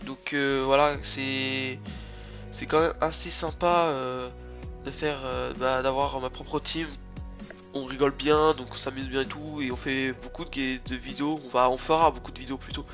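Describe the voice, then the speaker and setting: A young man talks through a head cold, his voice nasal and congested and sitting a little high. He rambles loosely, full of fillers and false starts. Faint music plays underneath. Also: a bit bright. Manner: rambling, many fillers and restarts. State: stuffy nose from a cold. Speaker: gaming channel host. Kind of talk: rambling casual gaming commentary.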